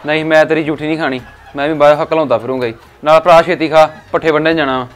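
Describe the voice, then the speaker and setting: Man as a teasing brother, raised pitch, rapid-fire mockery, sketch. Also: steady tone, natural voice. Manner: mocking, impatient. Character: teasing brother who mocks his stuttering sibling. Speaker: son. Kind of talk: village comedy sketch acting.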